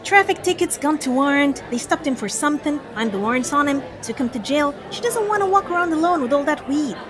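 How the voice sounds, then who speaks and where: A woman's voice: high voice, expressive, brisk, a crowd in the background. A woman acting in an audio drama as a slangy, foul-mouthed undercover cop spinning a street story. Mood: matter-of-fact. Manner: slangy, profane, exasperated storyteller. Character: male undercover cop rehearsing a foul-mouthed pot dealer's anecdote.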